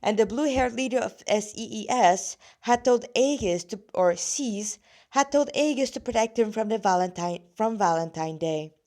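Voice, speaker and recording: A woman's voice: on the high side, expressive, moderate pace, dark tone. A woman with a slightly rusty voice reading her own story aloud, casually and with rambling asides, often breaking off mid-sentence.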